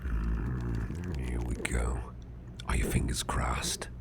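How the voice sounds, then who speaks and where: A man playing a big, muscular but softened grumpy boss in an ASMR roleplay, speaking in a gravelly, breathy near-whisper with a gentle, slightly formal manner. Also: a bass voice, flat delivery, background noise, heavily acted. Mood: focused, hopeful. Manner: slow, murmuring, gently reassuring, slightly formal.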